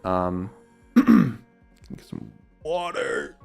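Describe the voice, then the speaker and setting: A young man with a slightly raspy voice, casually answering viewer questions on a livestream in a rambling, filler-heavy way. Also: on the low side, big pitch swings, drawn-out words, darkish tone, soft background music. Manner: rambling, loose, many fillers and repeats.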